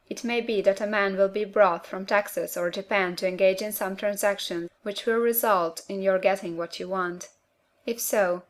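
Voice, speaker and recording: A woman with a mid-pitched voice reads scripted self-help narration. Her delivery is measured and earnest, with clearly articulated phrases in the manner of an audiobook narrator.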